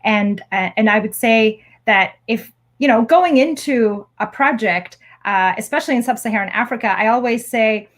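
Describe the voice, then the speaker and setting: A woman born in Egypt, an academic guest, giving measured, explanatory interview answers. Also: a little high, a bit bright.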